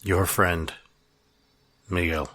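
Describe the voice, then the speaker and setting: A man reading a personal letter in a reflective, confessional and plainspoken way, with pauses between thoughts. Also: low-pitched, level tone, a bit bright, dry recording.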